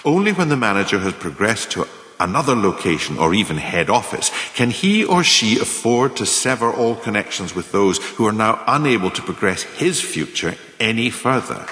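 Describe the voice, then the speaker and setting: An actor playing a scheming, pompous senior manager, delivering a deliberate, oratorical radio play monologue. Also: male voice, lowish voice, sing-song, a bit bright. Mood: coolly calculating. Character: scheming pompous senior manager lecturing on ruthless career advancement. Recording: echoey hall with long reverb.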